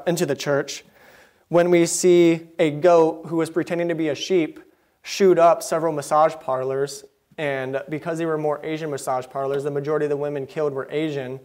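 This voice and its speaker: A young man preaching a sermon from behind a pulpit into a pulpit microphone, earnest and mildly indignant, with quick asides. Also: on the high side, steady tone, darkish tone. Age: young adult. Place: church sanctuary, behind a wooden pulpit.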